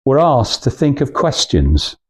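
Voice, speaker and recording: A man's voice: mid-range voice, sing-song, a little slow, slightly rough. Hesitant man giving an informal talk. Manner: hesitant, rambling, anecdotal, restarting phrases. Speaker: guest speaker at a club talk. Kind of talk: informal talk to a small audience.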